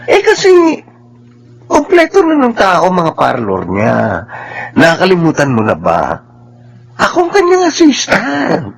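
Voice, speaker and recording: An actor playing a chatty, encouraging relative in a Filipino radio drama, emphatic and animated, with a Filipino accent. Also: male voice, high voice, a little slow, creaky, pauses often, full character voice. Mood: chatty, pleased. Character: chatty, encouraging, devout relative and parlor assistant.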